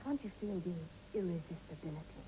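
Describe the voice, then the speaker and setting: A woman's voice: on the low side, fairly quick, warm tone, close to an everyday voice, poor audio. An actress playing a gushing, dreamy woman in a comic romantic TV advert. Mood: rapturous. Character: breathy, rapturous woman in love with her chocolate. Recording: hissy old VHS tape of TV advert.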